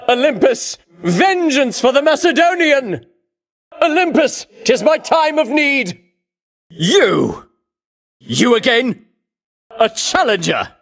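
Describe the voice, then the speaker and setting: An actor playing a pompous, vainglorious champion warrior in a video game, declaiming grandly and scornfully in archaic heroic language. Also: male voice, very high voice, a bit bright, full character voice. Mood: imploring, grand, then defiant, challenging. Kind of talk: theatrical video game battle lines.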